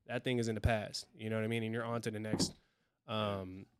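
A man's voice: lowish voice, flat delivery, brisk, noisy background. A man hosting a podcast, chatting casually, affirming his guest and asking reflective questions. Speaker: podcast host. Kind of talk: casual chat.

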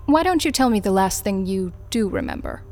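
An actress playing a calm, officious corporate interviewer in an audio drama, speaking formally and with composure. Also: female voice, mid-range voice, brisk. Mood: composed, formal.

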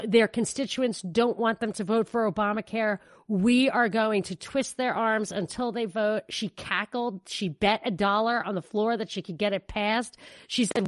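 A woman's voice: fairly high pitch, no echo. An American woman co-hosting a podcast, giving casual, opinionated and emphatic political commentary. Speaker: podcast co-host.

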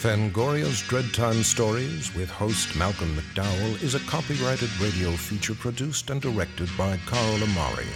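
A man's voice: on the low side, expressive, normal speed, few pauses. A man giving scripted radio announcements and credits as a show announcer. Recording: music clearly behind the voice.

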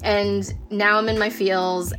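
A chatty woman being interviewed, her voice lively in the middle range. She talks loosely and acts out her own reactions as she goes. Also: mid-range voice, slow pace, faint music behind. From born in Korea, adopted in the US. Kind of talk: casual interview talk.

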